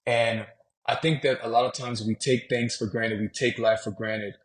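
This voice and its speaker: A man from Louisiana, a podcast guest, talking about travel in a relaxed, conversational way, often saying "man" and "you know". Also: mid-range voice, normal speed. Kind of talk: casual interview talk.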